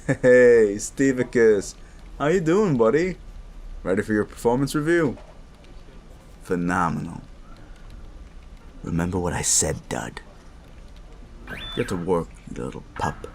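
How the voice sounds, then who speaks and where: A low, animated voice for a sleazy werewolf boss, acted by a man in a roleplay audio drama. He bullies with crude, condescending menace, his pitch sliding around as he taunts and threatens. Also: a little slow, long pauses, a little hiss or hum. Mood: mocking, falsely chummy.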